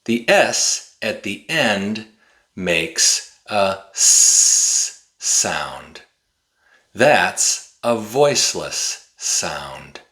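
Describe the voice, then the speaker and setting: A man in his forties giving an English pronunciation lesson to camera, enunciating deliberately and carefully like a teacher. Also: fairly low pitch, sing-song, sharp tone. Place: small indoor room with bookshelf.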